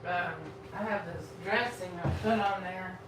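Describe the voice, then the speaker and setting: A woman's voice: fairly low pitch, fairly even intonation, unhurried. A woman from Texas with a Texan accent, chatting frankly and rambling while she cooks and eats during a live stream from her home kitchen. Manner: rambling and frank, talks while eating and cooking. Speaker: food vlogger. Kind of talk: casual live-stream chat while cooking. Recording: roomy, distant from the mic, noisy.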